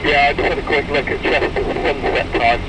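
Glider pilot over loud wind noise. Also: male voice, a little high. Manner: relaxed, halting with fillers. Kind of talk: casual radio chat in flight. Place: glider cockpit in flight.

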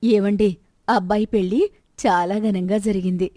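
A woman's voice: mid-range voice, fairly even intonation, normal speed, dark tone, no room sound, studio quality, natural voice. A woman voicing a persistent, practical middle-aged wife of a rich merchant in a moral story, chiding and persuading. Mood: assured, persuasive.

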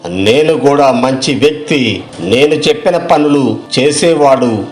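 A man playing a wealthy, cautious village businessman in a moral story, deliberate and authoritative in manner. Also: medium pitch, close to an everyday voice.

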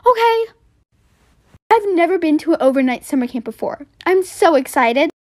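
A woman's voice: extremely high pitch, big pitch swings, moderate pace, a little mellow, no echo, clean studio sound. A woman voicing an eager, childlike young girl headed to summer camp in a roleplay story. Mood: excited.

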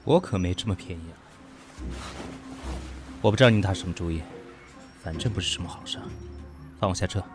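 Man acting a low-voiced, coolly sarcastic businessman. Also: fairly quick, long pauses, natural voice. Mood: guarded, dismissive. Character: composed, proud businessman in his thirties, coolly sarcastic. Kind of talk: Chinese audio drama acting. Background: background music.

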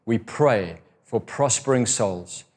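A middle-aged man preaching a recorded sermon to camera, earnest and expressive, stretching key words for emphasis. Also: fairly low pitch, slow pace. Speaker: pastor. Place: indoor room by a window.

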